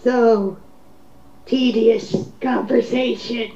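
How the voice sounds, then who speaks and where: A woman's voice: on the high side, steady tone, very slow, dark tone. A woman putting brief, dry, weary remarks into a casual chat. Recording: echoey, muffled amateur voice recording.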